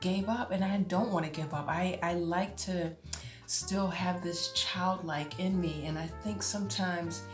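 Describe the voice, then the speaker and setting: A woman in her late forties talking casually to camera as a vlogger, chatty and often laughing, with drawn-out exclamations. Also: on the low side, steady tone. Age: 40s. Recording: echoey room with music behind.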